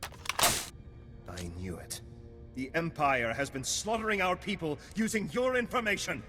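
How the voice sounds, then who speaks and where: An actor playing a bitter resistance fighter in a video game, accusing and menacing. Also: male voice, on the high side, sing-song, unhurried, sharp tone, exaggerated voice. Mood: outraged. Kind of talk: video game cutscene acting. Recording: long hall reverb, faint music.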